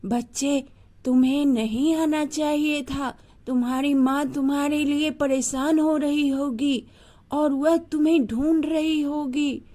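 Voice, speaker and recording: An uncle snake from a children's storybook, acted by a woman. The voice is high and mellow, with slow, avuncular phrasing that suits a predator who turns protector. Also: steady tone. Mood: concerned. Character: kindly uncle snake, first hungry, then protective.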